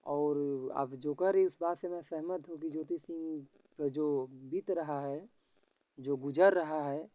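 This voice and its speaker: A man's voice: fairly high pitch, mellow, no room sound. A man speaking on an informal recorded phone call, explaining things politely and at length. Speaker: YouTube news creator.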